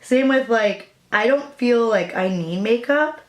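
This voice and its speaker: A young woman with a raspy voice, chatting casually through a makeup tutorial as a new beauty vlogger. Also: a little high, expressive, measured pace, echoey room. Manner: chatty, hesitant, with fillers and apologies.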